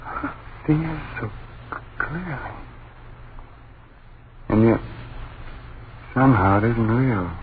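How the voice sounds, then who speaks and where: Frightened husband and father, very slow and halting, awestruck; radio drama, tinny recording with faint noise. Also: medium pitch, animated. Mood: awed. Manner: halting, hushed short phrases. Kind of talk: old-time radio drama acting. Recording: thin, noisy old radio recording.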